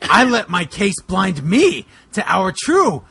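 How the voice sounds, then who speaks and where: A man voicing a solemn, worried wrestler-detective in drawn-out, stilted melodrama. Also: high-pitched, big pitch swings, vocal fry, heavy background noise, full character voice.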